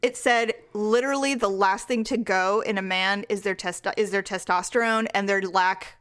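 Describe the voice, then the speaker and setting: A woman in her forties co-hosting a podcast, chatting casually with her sister, blunt and frank and quick to laugh. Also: medium pitch, steady tone, fairly bright tone, clean tone. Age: 40s. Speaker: podcast co-host. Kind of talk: casual chat.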